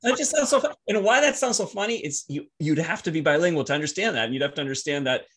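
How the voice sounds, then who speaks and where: A man whose first language is French, telling personal stories casually as a podcast guest in a halting, reflective, easily amused way. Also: fairly high pitch, expressive, a bit bright.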